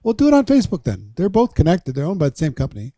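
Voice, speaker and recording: A man coaching on a webinar, blunt and encouraging, quick to reassure. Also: on the high side, wildly swooping pitch, mellow, no echo, studio quality. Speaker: presentation coach. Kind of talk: casual webinar talk.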